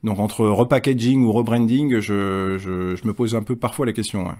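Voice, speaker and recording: A man's voice: fairly low pitch, steady tone, normal speed, mellow. A man in a casual tech podcast, thinking aloud in long, questioning sentences. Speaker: podcast guest.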